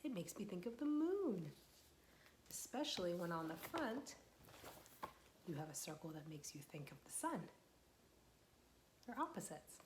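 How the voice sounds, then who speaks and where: A young woman, a children's librarian, reading a picture book aloud to small children, gentle and patient, asking them questions and waiting for their answers. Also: on the low side, sing-song, measured pace, pauses often, noisy background.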